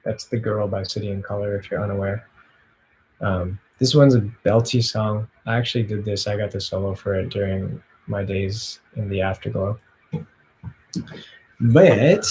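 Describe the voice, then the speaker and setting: A young adult man chatting casually in a soft, low-key way between songs, recorded in a small bedroom on a device at arm's length. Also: steady tone, unhurried, slight background noise, poor audio. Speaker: amateur singer and blogger.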